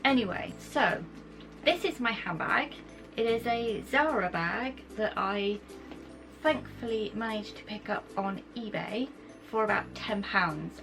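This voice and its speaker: A young British woman, croaky from a cold, chatting enthusiastically as she shows off her things to camera. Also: medium pitch, rough recording. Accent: British English. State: croaky from a cold, sore throat. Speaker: vlogger. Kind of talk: casual chat to camera. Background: background music.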